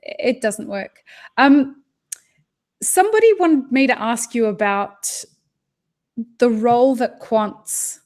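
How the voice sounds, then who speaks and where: Woman host, chatty, blunt, a little high-pitched. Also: expressive, slow pace, pauses often, a little mellow. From not American, living in California. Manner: chatty, blunt, swears casually. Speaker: podcast host. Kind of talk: casual chat.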